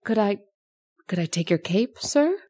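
A woman's voice: medium pitch, animated, unhurried, mellow. A woman playing an anxious, thrifty landlady who stammers and fusses to please, in a radio drama. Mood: flustered. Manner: flustered and stammering, eager to please. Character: anxious, eager landlady, middle-aged, thrifty.

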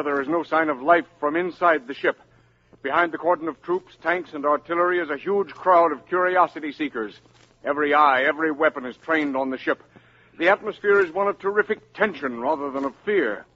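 An actor playing a tense American radio reporter on the scene, clipped and formal, in a 1950s radio drama. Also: male voice, a little high, a little fast, natural voice. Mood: tense, reporting. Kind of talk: 1950s radio news acting. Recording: muffled 1950s radio broadcast.

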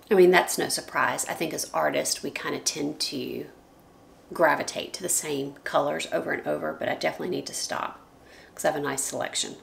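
A woman in her thirties with a scratchy, hoarse voice, chatting casually about her art supplies at a desk in her home studio, often correcting herself and trailing into soft asides. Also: on the low side. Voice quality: scratchy, gravelly voice. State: hoarse from allergies. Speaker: art vlogger. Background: faint room noise, dog scratching.

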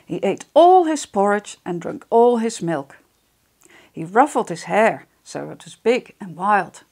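A middle-aged woman reading a picture book to young children, expressive and deliberate, addressing them directly. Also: medium pitch. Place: small wood-panelled room indoors. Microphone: lapel microphone.

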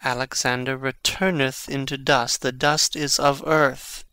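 An actor playing a brooding, impassioned young prince in a dramatic Shakespeare reading, with deliberate theatrical delivery. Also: male voice, medium pitch, flat delivery, sharp tone, smooth, no room sound. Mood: musing.

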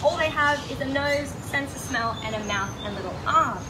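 A woman's voice: high-pitched, lively intonation, moderate pace. A woman giving a chatty, playful, informal animal talk as a zookeeper. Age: 20s. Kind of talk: informal educational animal talk to a live audience. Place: outdoor zoo enclosure. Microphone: handheld phone a few steps away. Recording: noisy outdoor phone recording with birdsong.

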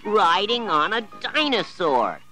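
Excited young children for a storybook, voiced by a man, very high and bouncy. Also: slow pace, soft background music, exaggerated voice. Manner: squealing, excited.

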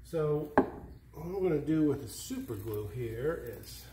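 A man in his fifties casually narrating hands-on garage work, with halting, hesitant phrasing. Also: on the high side, expressive, noisy background. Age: 50s. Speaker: DIY hobbyist host. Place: indoor garage workshop.

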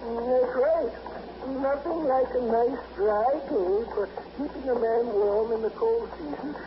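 A woman acting a cantankerous old hermit in an old-time radio drama, scolding gruffly in grand old-fashioned phrases. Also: on the high side, a little slow, natural voice. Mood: dry, amused. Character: cantankerous old hermit driving a stubborn mule along. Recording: noisy dull vintage radio recording.

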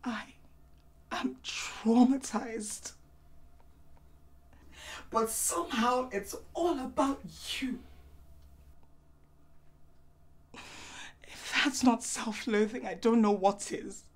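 A woman's voice: fairly high pitch, takes it slowly. A woman playing a hurt, exasperated wife in a screen drama, speaking with pointed emphasis and repeating words for force. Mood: wounded, bitter. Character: hurt, exasperated wife confronting her proud husband. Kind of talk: naturalistic screen drama acting.

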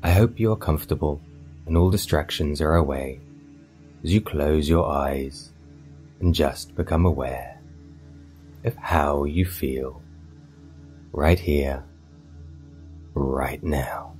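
Very deep, slow male meditation guide. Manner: soothing, hypnotic, measured delivery with long pauses. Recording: voice over meditation music. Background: soft meditation music.

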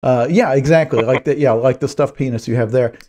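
A hoarse man in his forties, a guest author, chatting in a rambling, self-deprecating way on a video call from a small home office. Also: medium pitch, brisk, dark tone. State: hoarse, strained voice. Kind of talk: video call podcast chat. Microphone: headphones, desk mic on video call.

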